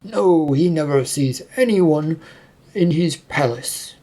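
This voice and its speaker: A man playing a stern, unwelcoming gate guard in a fantasy story, formal and curt, with a rough voice. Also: on the high side, takes it slowly, warm tone, pitched above the performer's own voice. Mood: stern refusal.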